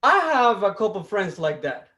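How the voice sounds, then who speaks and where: A man from Costa Rica with a Costa Rican Spanish accent, doing a stand-up comedy set over a video call, with a deliberate delivery that stops and restarts. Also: high-pitched, very animated, pushed and bright. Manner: deliberate, with restarts and self-amused laughs. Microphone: video call mic.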